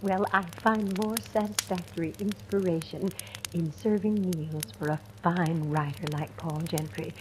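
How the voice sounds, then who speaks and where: An actress playing a kindly, knowing older cook in a 1940s style radio drama, speaking in a gentle, grave, measured way. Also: female voice, on the low side, fairly even intonation, natural voice. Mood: soothing. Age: middle-aged or older. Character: kindly older woman cook, former schoolteacher, quietly knowing. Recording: noisy vintage radio recording with clicks.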